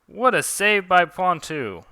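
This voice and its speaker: Fantasy duel announcer, done by a man, showy and excited, pushed high, bright and forceful. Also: slow pace, clean tone, dry recording, exaggerated voice. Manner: showy, dramatic play-by-play.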